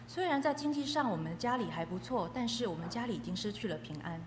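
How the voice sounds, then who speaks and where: A woman's voice: mid-range voice, expressive, fast. A woman interpreting a testimony aloud, phrase by phrase. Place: echoey hall. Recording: echoey hall with faint noise.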